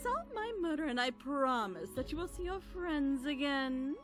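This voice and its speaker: A woman's voice: high-pitched, very animated, moderate pace. A woman playing a sly, teasing cartoon villainess with a mock-sweet, condescending, theatrical manner. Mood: smug, playful. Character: sly, teasing female villain toying with a pony. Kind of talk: animated cartoon villain acting. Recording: music behind the voice.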